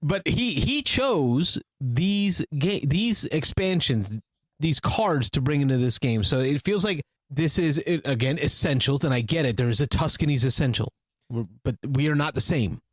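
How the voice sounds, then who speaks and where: A man's voice: on the high side, animated, moderate pace, no echo. Non-native English-speaking male co-host, playful needling delivery, dry close mic. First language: not English. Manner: playful, teasing, speaks in short bursts. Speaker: podcast co-host. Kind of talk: casual discussion.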